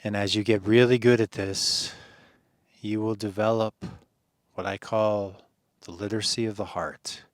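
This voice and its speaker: A man leading a guided meditation in a soothing, earnest manner, phrasing deliberately with pauses and gentle encouragement. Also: on the low side, steady tone, no room sound, studio quality.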